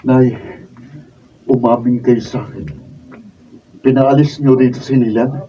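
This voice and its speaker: A man's voice: mid-range voice, steady tone, a little slow, warm tone, natural voice. A Filipino man acting a proud, emotional young man in a radio drama. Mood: hurt, indignant. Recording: distant dull mic, faint noise.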